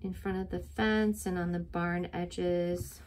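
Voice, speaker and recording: A woman's voice: on the low side, steady tone, slow, darkish tone, slight background noise. A middle-aged woman teaching a step-by-step drawing lesson to children, patient and encouraging, recorded at her desk on the camera mic. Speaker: art teacher.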